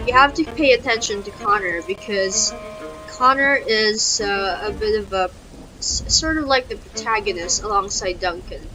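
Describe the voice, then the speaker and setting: A woman, casually talking through a story game and reading its text aloud, thinking out loud with frequent restarts. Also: mid-range voice, normal speed, poor audio. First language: Filipino. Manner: chatty, talks through her choices with restarts. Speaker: gaming YouTuber. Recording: loud game music behind the voice.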